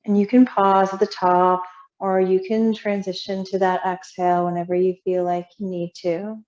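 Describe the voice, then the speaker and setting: A woman yoga teacher leading a guided meditation in a soothing, unhurried, gently instructing way with long pauses. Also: mid-range voice, little pitch movement. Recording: roomy echo, slightly muffled sound.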